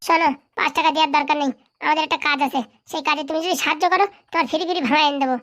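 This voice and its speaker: Female voice actor as a scheming, fast-talking village boy for a comedy cartoon; very bright, pushy tone. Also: sits high, steady tone. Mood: wheedling. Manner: bossy, fast-talking, wheedling. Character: cocky scheming village boy, bossy hustler. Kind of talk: comic cartoon voice acting.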